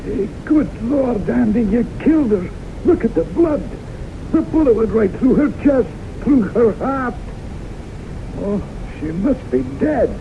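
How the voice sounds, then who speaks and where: Horrified, shrill, creaky hunter. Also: male voice, expressive, measured pace, full character voice. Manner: aghast, exclaiming. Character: horrified hunter crying out over an accidental shooting. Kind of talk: old-time radio drama acting. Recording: dull, noisy old radio broadcast.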